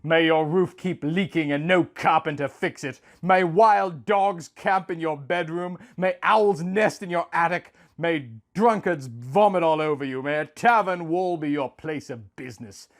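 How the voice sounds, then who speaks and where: A man acts out a dying warrior from an ancient epic, bitter and anguished. The voice is fairly high and declaims with passion, as in a dramatic storybook reading. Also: measured pace, few pauses. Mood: furious cursing. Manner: impassioned, declamatory. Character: dying wild warrior, bitter and anguished, cursing his fate.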